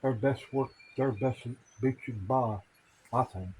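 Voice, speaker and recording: Halting older man, noisy outdoors. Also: mid-range voice, darkish tone. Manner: halting, wondering aloud. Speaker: vlog co-host, the husband. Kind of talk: casual chat.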